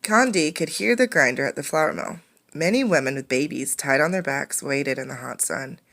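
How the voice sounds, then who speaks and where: A woman's voice: deep voice, moderate pace, gravelly, a bit bright. A woman teacher reading a picture book aloud to children, instructing and posing questions as she goes. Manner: instructive, poses questions to young listeners. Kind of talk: interactive storybook read-aloud for children.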